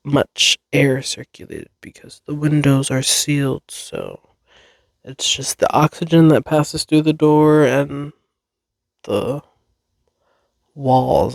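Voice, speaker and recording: Slow, nasal-sounding podcast host, stuffed up. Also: male voice, mid-range voice, creaky, no room sound. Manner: rambling, chatty, frequent self-amused laughs. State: congested from a cold, slightly nasal. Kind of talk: casual solo podcast chat. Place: basement room.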